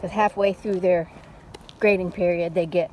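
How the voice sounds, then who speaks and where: A middle-aged woman chatting casually in an unhurried, folksy way, like a mom telling stories while out on a walk. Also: fairly low pitch, rough recording. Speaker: storytelling vlogger and mother. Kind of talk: casual rambling chat. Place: outdoors, walking. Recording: steady background noise, outdoors on a walk.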